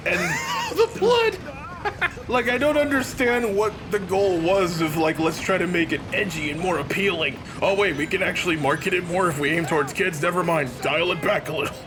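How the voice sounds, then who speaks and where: A man's voice: sits high, very animated, moderate pace. A man giving casual, joking commentary as a let's play host. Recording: game music behind voice.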